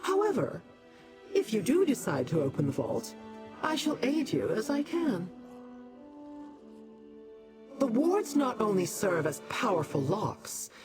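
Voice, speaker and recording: A woman voicing a haughty immortal demon in a fantasy video game, condescending, imperious and formal in her deliberate delivery. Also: medium pitch. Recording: music behind the voice.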